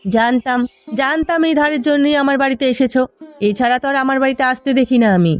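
Woman putting on a lower, scolding, grumpy housewife. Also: quick pace, soft background music, lightly acted. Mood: irritated. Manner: scolding, sneering. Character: grumpy, stingy village sister-in-law, scornful housewife. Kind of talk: Bengali cartoon voice acting.

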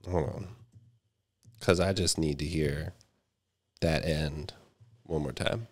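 A man's voice: rumbling low, steady tone, over loud music. A man in his thirties with a stuffy, nasal voice, reacting with awe to a song in his home studio. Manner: awed exclamations between pauses. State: sick, nasal. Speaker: reaction video host. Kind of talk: music reaction commentary. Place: small home studio room, indoors. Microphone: studio mic on boom arm, headphones. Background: song playing.

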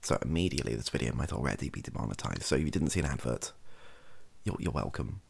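Very deep, gravelly, soft-spoken man. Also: expressive, fast talker. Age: young adult. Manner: rambling, self-deprecating, hesitant. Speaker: ASMR video creator. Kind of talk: softly spoken ASMR chat. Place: small bedroom at a desk. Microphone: close microphone.

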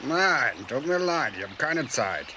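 An aloof male fox in a children's cartoon. He drawls very slowly with a lively, swooping melody and a self-important air. Noise is clearly audible behind him. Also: medium pitch, full character voice. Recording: clearly noisy soundtrack.

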